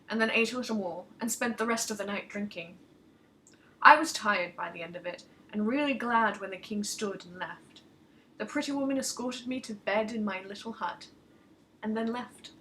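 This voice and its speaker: Bright-voiced woman reading a story aloud. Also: mid-range voice, low-quality recording. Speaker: author reading own short story aloud. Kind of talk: expressive story narration in first person.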